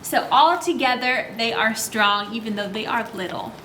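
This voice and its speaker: A bright, high woman's voice presents a picture book to young children. She is expressive and unhurried, guiding them with simple questions. The room adds a noticeable echo. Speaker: children's library story time host. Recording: roomy echo, faint background noise.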